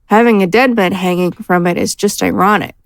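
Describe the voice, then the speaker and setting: A woman's voice: medium pitch, steady tone, brisk, creaky, dry recording, clean studio sound, lightly acted. A woman playing a learned, matter-of-fact adventurer who explains things articulately in a fantasy audio drama. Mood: explaining. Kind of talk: scripted audio drama acting.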